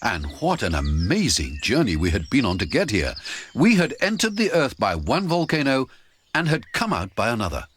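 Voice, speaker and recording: A man narrating an adventure audiobook in the first person, theatrical and expressive. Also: medium pitch, normal speed, slight background noise.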